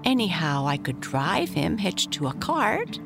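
A woman voicing an eager little boy of about nine who begs excitedly in a high voice. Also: a little slow, creaky, music in the background, exaggerated voice.

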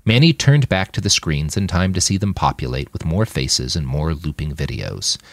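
A man with a low voice narrates a work of fiction in the manner of an audiobook. His delivery is dramatic and animated, with wide swings in intonation and measured, clipped phrases. The sound is close and dry, free of room echo. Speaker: author reading his own novel on a podcast.

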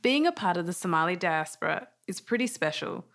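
A woman narrating an audio documentary in a calm, scripted storytelling manner. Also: on the low side, fairly quick, vocal fry.

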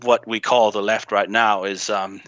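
Blunt, sharp-toned man, muffled recording. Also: fairly low pitch, flat delivery, measured pace, no echo. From Greece. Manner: blunt, swears, many ums and restarts. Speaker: podcast guest. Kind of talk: casual interview talk. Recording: slightly muffled dry recording.

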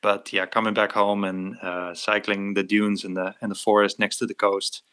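Man, dutch native speaker, podcast guest, easygoing, low and bright voice. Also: flat delivery, moderate pace. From North Holland, Netherlands. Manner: easygoing, laughs often. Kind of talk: casual interview chat.

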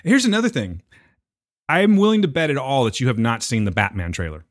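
A man's voice: mid-range voice, big pitch swings, fairly quick, no echo, studio quality. An American man co-hosting a podcast in casual banter, with a joking, teasing manner and some swearing. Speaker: podcast co-host.

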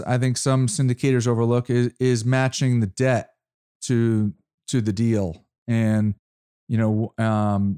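Smooth-voiced interview host. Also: male voice, medium pitch, a little mellow. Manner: halting, restarts often, affirming. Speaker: podcast host. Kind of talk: casual interview.

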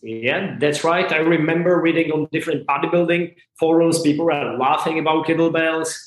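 A man from the Republic, a martial arts and strength instructor talking as a guest in a casual interview, halting and earnest with many fillers. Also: fairly high pitch, fairly even intonation. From Czech Republic. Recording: roomy echo.

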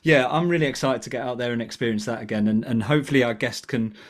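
A man's voice: medium pitch, quick pace. Enthusiastic British man co-hosting. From United Kingdom. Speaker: podcast co-host. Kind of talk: casual podcast chat.

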